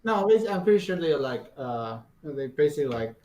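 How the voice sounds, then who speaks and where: A man's voice: a little high, normal speed. Twenty-something guy on a podcast, chill, lots of uh and you know. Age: 20s. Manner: relaxed, full of fillers, explains things loosely. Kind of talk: casual chat.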